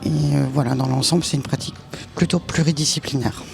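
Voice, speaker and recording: A person from a circus school explaining its activities in an interview. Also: female voice, low-pitched, steady tone, quick pace, creaky, a little mellow. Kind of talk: interview answers. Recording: music in background.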